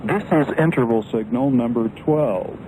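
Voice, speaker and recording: Radio announcer guy, medium pitch, staticky 80s shortwave. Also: a little slow. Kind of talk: scripted announcement. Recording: noisy 1980s shortwave radio.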